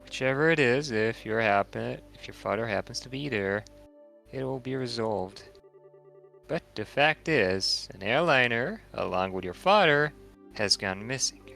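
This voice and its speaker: Man voicing big-sisterly woman mentor, calm, serious, measured video game dialogue, soft music in background. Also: medium pitch, creaky, exaggerated voice. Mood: serious, reassuring. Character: calm, experienced older-sisterly woman, seasoned adventurer mentor. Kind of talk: amateur voiced video game dialogue reading.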